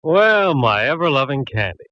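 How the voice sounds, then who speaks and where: An actor playing a jovial middle-aged police inspector with a breezy, teasing, folksy manner, from a dull old radio broadcast. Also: male voice, medium pitch, sing-song, moderate pace, dry recording, natural voice. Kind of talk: 1940s radio acting.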